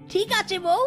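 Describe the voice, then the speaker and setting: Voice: very high-pitched, exaggerated voice. A performer voicing a cunning, cheeky male fox trickster in a cartoon, with gleeful, taunting delivery. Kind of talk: exaggerated cartoon dialogue. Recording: music behind the voice.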